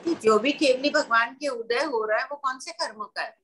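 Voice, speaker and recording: A middle-aged woman leads a scripture class, her voice bright and clear and pitched a little high. She explains terms patiently, step by step, and adds everyday examples. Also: normal speed. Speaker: religious scripture teacher.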